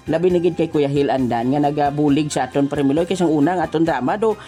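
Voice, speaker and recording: A man's voice: fairly high pitch, fast talker, dark tone. A man with a Western Visayan Filipino accent, co-hosting a radio show with chatty, joking banter. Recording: music behind the voice. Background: music.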